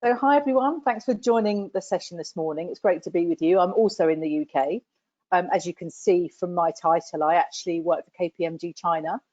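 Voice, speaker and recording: A woman's voice: on the low side, fairly quick, dark tone, no room sound. A woman from the UK who recruits graduates, introducing herself on an online panel with confident, fluent speech. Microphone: video call. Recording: dry, dull video call audio.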